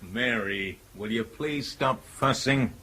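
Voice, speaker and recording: An actor playing a patient but exasperated husband in a radio drama, wearily reassuring. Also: male voice, mid-range voice, takes it slowly, bright, forceful tone. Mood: patient exasperation. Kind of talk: broadcast radio drama acting.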